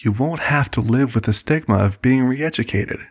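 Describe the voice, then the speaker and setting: A man's voice: mid-range voice, moderate pace, slightly rough. A man performing an ASMR roleplay as a serenely manipulative cult leader, soothing, condescending and persuasive. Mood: coaxing, reassuring.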